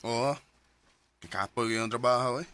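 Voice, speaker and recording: A man's voice: mid-range voice, moderate pace, bright, forceful tone, clear, resonant tone, natural voice. A man with a Cebuano Filipino accent playing an idle, careless young husband in a radio drama. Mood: dismissive.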